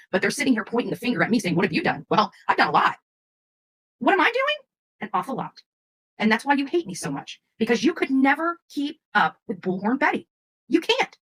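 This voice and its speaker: A woman's voice: a little high, fairly bright tone, husky. A woman who runs a true crime channel, venting unscripted to her viewers in a combative, defiant way and often repeating herself. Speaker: true crime creator and child advocate.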